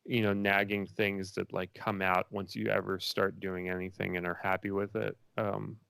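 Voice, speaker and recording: Man as indie filmmaker guest, lowish voice, dry close sound, loose and chatty interview answers that wander. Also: level tone, moderate pace, creaky, a bit bright, clear, resonant tone, dry recording. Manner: rambling and informal, many likes and you knows.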